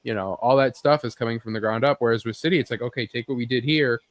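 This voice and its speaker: A man's voice: medium pitch, fast talker, dry recording. A man chatting casually and ramblingly as a podcast host, swearing freely and saying "like" a lot. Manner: rambling, profane, heavy use of "like". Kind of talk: casual chat.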